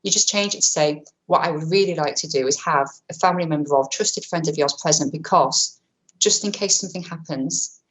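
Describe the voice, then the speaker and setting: Woman, low register, webinar presenter; brisk, chatty, self-correcting delivery on a webcam mic. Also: fairly bright tone, low-quality recording. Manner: quick, chatty, self-correcting, many fillers; says she has a powerful voice. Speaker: webinar presenter on vulnerable clients. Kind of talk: online training talk.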